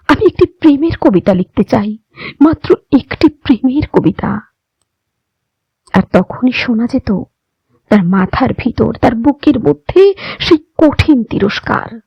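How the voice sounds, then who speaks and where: A woman giving a dramatic, expressive poetry recitation with measured delivery and deliberate pauses. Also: sits high, slightly rough, dry recording, dull audio.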